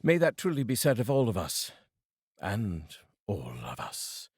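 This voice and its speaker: A man from the United Kingdom giving a theatrical, expressive reading of a classic story as narrator. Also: on the low side, unhurried, slightly rough, no echo, clean studio sound. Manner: theatrical and expressive, savouring phrases. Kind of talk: dramatic audiobook reading.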